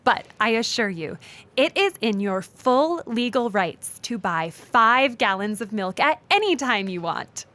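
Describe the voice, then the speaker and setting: A woman playing an earnest, absurdly literal lawyer, formal and deadpan, in an audio comedy sketch. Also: a little high, a little slow, heavily acted.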